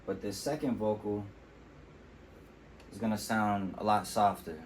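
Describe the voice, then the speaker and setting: A man with a deep voice, casually walking through how he mixes his own vocals in a relaxed, explanatory way. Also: a little slow, a little hiss or hum. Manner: relaxed, explanatory, with fillers like "you know". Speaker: music producer demonstrating vocal mixing. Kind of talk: casual tutorial walkthrough. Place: temporary home studio.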